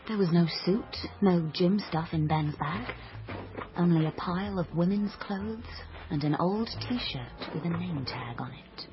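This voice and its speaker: A woman's voice: lowish voice, unhurried, highs cut off, close to an everyday voice. An actress in a radio drama playing an eager, flustered young woman who is an amateur sleuth on a date, with a hesitant manner. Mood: grave, betrayed. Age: young adult. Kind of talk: radio drama acting with first-person narration.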